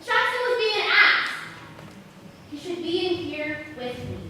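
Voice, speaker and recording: A woman's voice: very high-pitched, sing-song, a little slow, sharp tone, heavily acted. Young woman playing guarded sarcastic teen girl onstage, far from the mic, noisy echoey recording. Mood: defiant, defensive. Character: defiant, guarded, neglected teenage schoolgirl. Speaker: actor in a school play. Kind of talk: amateur stage drama acting. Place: school stage, recorded from a distance.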